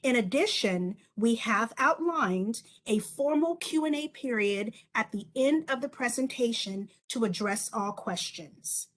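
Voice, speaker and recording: A woman's voice: a little high, lively intonation, measured pace, few pauses. A woman hosting a virtual open house, reading formal welcome and housekeeping remarks from a script. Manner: formal and polished, read from a script. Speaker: assistant director, session host. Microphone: video call.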